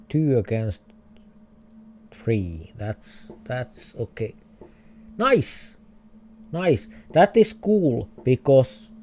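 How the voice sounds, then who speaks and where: Man, Finnish accent, hesitant game-stream chatter, very slow speech, warm dark tone. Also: medium pitch. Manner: thinking aloud, hesitant, chuckling. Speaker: livestreamer. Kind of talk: casual game livestream commentary.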